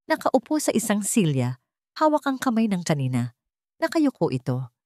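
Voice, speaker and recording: Female narrator, Filipino accent, lower register, dry studio-like sound, scripted radio-drama storytelling. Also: mellow, no room sound.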